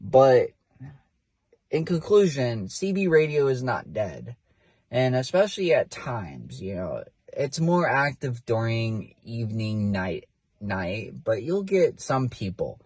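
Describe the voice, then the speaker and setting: A man's voice: mid-range voice, big pitch swings, slow, a little mellow, husky, dry recording. Guy rambling in his car for his first vlog, lots of "you know", dry close sound. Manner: rambling and chatty, many fillers and restarts. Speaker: vlogger, CB radio hobbyist. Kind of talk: casual first-time vlog.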